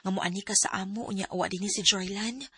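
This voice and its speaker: A woman's voice: medium pitch, fairly even intonation, a little fast, a bit bright, breathy edge, dry recording. A woman acting in a Bisaya radio drama as a patient, wistful young live-in partner, with a Filipino Bisaya accent. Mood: uneasy.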